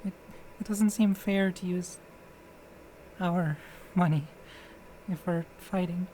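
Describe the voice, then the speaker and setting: A woman playing a distressed, defensive murder suspect under questioning in an audio drama, speaking haltingly. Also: fairly low pitch, steady tone, dark tone, a little hiss or hum, rough recording. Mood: nervous, defensive. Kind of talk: dramatic interrogation acting.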